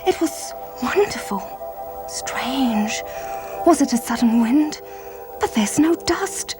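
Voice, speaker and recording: A woman acts out an amazed bystander in a children's Bible story. The voice is high, breathy and full of awe, over loud music. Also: animated, takes it slowly. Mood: hushed wonder. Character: awestruck onlooker in a Bible story.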